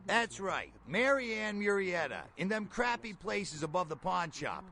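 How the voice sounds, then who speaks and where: A man's voice: high voice, very animated, measured pace, gravelly, pushed and bright, exaggerated voice. An actor playing a jittery, folksy bail bondsman in a video game, talking in colloquial street slang. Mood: brisk, streetwise.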